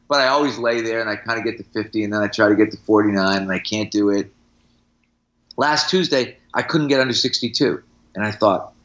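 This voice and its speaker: A man's voice: on the low side, a bit bright, lo-fi sound. A middle-aged man, a strength coach, talking casually on a podcast in anecdotes and examples, with firm opinions.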